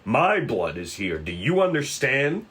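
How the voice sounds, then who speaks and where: A man's voice: medium pitch, sing-song, fairly bright tone, a little hiss or hum. A man playing a polite, composed FBI agent who speaks formally, in a script table read. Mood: calm, stern. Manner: courteous, measured, formal.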